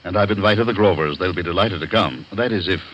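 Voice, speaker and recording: An actor playing a grim, insinuating husband with a deliberate manner in a 1940s radio drama. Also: male voice, low voice, a bit bright. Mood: polite. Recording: dull, noisy vintage radio recording.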